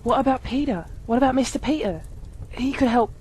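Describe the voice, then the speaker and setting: A woman playing a timid, earnest teenage boy in an amateur radio play, speaking politely and haltingly. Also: sits high, animated, a little mellow, slight background noise. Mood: earnest, hesitant.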